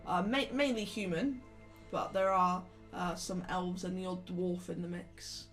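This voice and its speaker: Woman running a tabletop rpg, lowish voice, describes stuff haltingly, music behind her. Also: fairly even intonation, fairly bright tone. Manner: descriptive, halting and self-correcting. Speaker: game master. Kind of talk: narrating a tabletop game, improvised.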